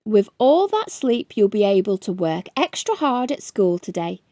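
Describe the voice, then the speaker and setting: A woman voicing a kindly, reassuring father in a children's storybook, explaining things gently and patiently. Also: mid-range voice, big pitch swings, moderate pace, dry recording, clean studio sound, lightly acted. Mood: gentle, caring.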